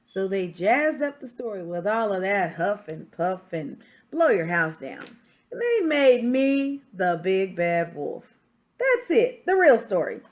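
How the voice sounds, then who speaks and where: A woman's voice: medium pitch, sing-song, voice pushed down. A woman voicing an aggrieved male wolf who tells his side of a children's story in a folksy, self-justifying, emphatic way. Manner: dramatic, emphatic, with drawn-out stressed words. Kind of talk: storybook read-aloud for children.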